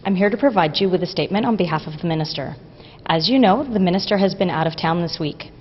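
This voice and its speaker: A woman, a press secretary reading a prepared statement in a formal, measured way in an office hallway. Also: on the low side. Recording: lo-fi with long reverb and faint hiss.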